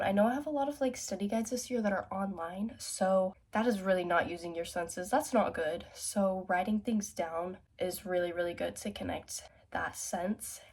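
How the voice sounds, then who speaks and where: A woman's voice: mid-range voice, darkish tone, slightly rough. A teenage girl with a stuffy, congested voice from a cold, chatting upbeat study tips at home for her vlog. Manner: chatty, self-talking, upbeat. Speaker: student vlogger.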